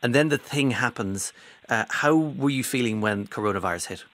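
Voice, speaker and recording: A man hosting a morning radio show, chatting casually and briskly with guests. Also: medium pitch, a bit bright.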